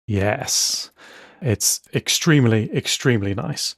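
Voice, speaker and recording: A man's voice: mid-range voice, lively intonation, slow pace, vocal fry, slightly rough, no echo, studio quality. A man co-hosting a podcast, enthusiastically walking through his note-taking setup in casual tech chat. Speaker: podcast co-host.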